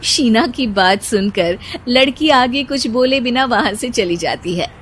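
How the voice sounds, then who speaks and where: A woman's voice: fairly high pitch, expressive, measured pace, a bit bright. Woman narrating a story over music.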